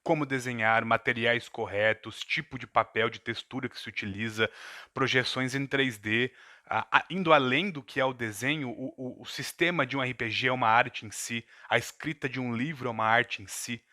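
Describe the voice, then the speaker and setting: A man's voice: mid-range voice, normal speed, bright, forceful tone, dry recording, studio quality. A Brazilian man, a game master, giving a thoughtful, digressive talk to a live chat from home, with asides and self-corrections. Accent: Brazilian Portuguese. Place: home room in front of a camera. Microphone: camera mic.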